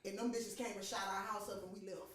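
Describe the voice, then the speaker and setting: A woman's voice: mid-range voice, steady tone, fast. A woman co-hosting a podcast, telling stories in a blunt, profane, casual way. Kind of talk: casual group chat. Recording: very echoey room.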